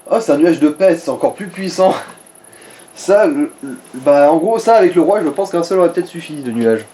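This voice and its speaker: A man's voice: fairly high pitch, expressive, moderate pace, warm tone. A man giving informal, sweary gaming commentary in speech, coaching the player along. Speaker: let's play co-commentator.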